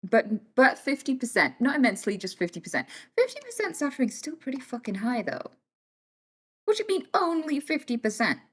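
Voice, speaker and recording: Young British female streamer, casual and teasing, with a lively, swinging delivery. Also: high voice, brisk, slightly rough. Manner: chatty, teasing, thanks viewers warmly by name. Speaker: livestream host. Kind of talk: casual chat with live viewers.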